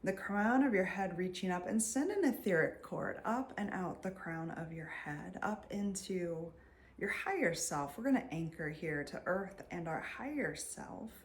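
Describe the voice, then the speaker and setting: A woman leading a guided meditation in a soft, soothing voice, leaving long pauses and sometimes trailing near a whisper. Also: lowish voice, animated. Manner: soothing, unhurried, with long pauses between phrases. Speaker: meditation guide and energy healer.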